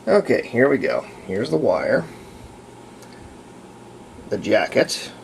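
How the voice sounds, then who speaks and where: Slow, gravelly man giving a hands-on tutorial. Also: fairly low pitch, wildly swooping pitch, slight background noise, poor audio. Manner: halting, pausing mid-sentence, explanatory. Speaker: hobbyist radio restorer demonstrating a repair. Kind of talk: casual hands-on tutorial talk.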